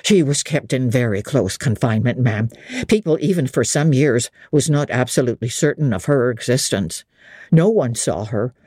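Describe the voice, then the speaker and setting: An older country innkeeper, as an audiobook reader puts him on, leans in to share gossip in rustic, confiding speech. His voice is dark and husky, recorded dry and close. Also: medium pitch, expressive, moderate pace, dry recording. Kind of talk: audiobook character reading.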